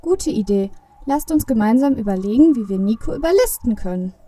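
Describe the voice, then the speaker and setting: A woman playing an excitable, eager little Christmas elf in a children's audio play. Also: on the high side, a little fast, husky, dry recording, recorded from a distance. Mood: keen.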